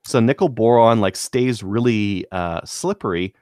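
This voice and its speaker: A man's voice: on the low side, measured pace, a little mellow, dry recording, studio quality. A man talking casually as a podcast co-host, explaining things in stop-start phrases. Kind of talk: casual gun-hobby chat.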